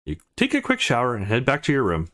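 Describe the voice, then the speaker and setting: Animated man narrating a visual novel. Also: medium pitch, fast talker. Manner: expressive, informal. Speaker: let's play host. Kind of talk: casual read-aloud narration of a visual novel.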